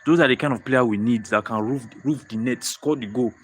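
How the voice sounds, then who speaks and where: Nigerian man, young adult, live-stream host, excitable, repeats himself, medium pitch. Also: a little fast. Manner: excitable, repeats phrases, frequent restarts. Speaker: football livestream host. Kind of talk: live-stream fan chat reading viewer comments.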